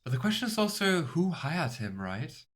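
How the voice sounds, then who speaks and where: Chatty, bemused young gaming host. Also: male voice, a little high, big pitch swings, normal speed, a little hiss or hum. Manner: chatty, bemused, full of fillers like "kinda". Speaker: let's play host. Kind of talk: casual gameplay commentary.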